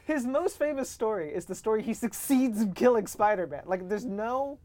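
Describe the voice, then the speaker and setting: A woman chatting casually about pop culture as a podcast guest, animated and opinionated, with a joking streak. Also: a little high, normal speed.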